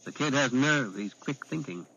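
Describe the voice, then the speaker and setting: A hardened assassin speaking low and wary, as a man reads him. He keeps his voice dry and guarded in this spoken storybook. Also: normal speed, bright, forceful tone, breathy edge, lo-fi sound. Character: Hugh, a grim, hardened assassin.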